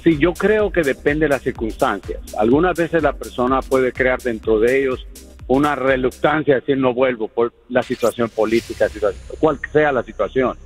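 An older man in his sixties speaks with a Guatemalan Spanish accent, unhurried and thoughtful as he calls in to a radio program. His voice sits at an ordinary middle pitch. Soft music plays faintly behind him. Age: 60s. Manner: earnest, reflective, measured storytelling. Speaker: caller. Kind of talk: phone-in radio call.

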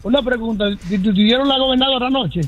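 Un hombre de mediana edad con acento dominicano que llama a la radio para opinar en broma. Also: male voice, very high-pitched, fast, lo-fi sound, over loud music. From born in Dominican Republic, raised in New York. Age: middle-aged. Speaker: radio phone-in caller. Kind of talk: joking opinionated chat.

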